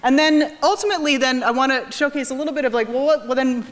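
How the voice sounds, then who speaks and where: A woman in her thirties with a New England American accent gives an informal lecture in an animated way, often restarting her sentences. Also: high voice, fast talker. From New England, United States. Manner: animated explaining, self-correcting with frequent false starts. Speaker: physics professor giving a lecture. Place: large auditorium stage.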